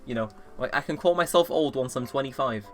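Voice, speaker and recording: A man's voice: medium pitch, brisk. An eighteen-year-old man chatting casually through a let's play, rambling and poking fun at himself. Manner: rambling, self-mocking, chatty. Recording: game music playing under the voice. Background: game music.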